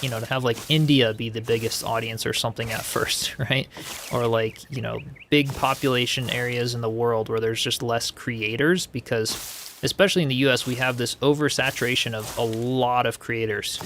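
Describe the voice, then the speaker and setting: A man's voice: medium pitch, slight background noise. Laid-back male game streamer. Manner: relaxed, rambling, reads chat aloud, frequent likes and you-knows. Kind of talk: casual live-stream chat while building in a game.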